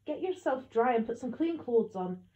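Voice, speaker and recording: A woman's voice: mid-range voice, steady tone, normal speed, highs cut off, close to an everyday voice. A woman voicing a cross, brusque old grandmother in an audiobook, snapping and scolding.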